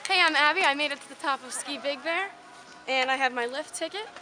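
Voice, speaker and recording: A young woman hosting an upbeat promotional vlog outdoors at a ski area, talking in a bubbly, excited way. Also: high-pitched, brisk, over music. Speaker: resort video host.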